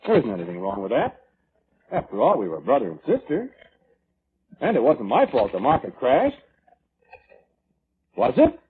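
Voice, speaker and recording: A tinny, hissy vintage radio drama recording carries a weaselly brother giving testimony. His lowish voice swings widely as he whines, evades and justifies himself, halting and defensive. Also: wildly swooping pitch, vocal fry. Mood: self-justifying. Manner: defensive, whining, evasive, halting. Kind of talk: 1940s radio drama acting.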